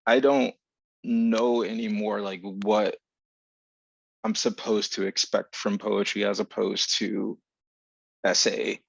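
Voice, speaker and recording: A man with a low, creaky voice and flat intonation speaks as a reflective panelist. He is deliberate and halting, reaching for exact words, and the call audio is dull and dry. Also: no room sound. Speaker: writer and panelist. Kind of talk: reflective panel talk about craft. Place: remote video call.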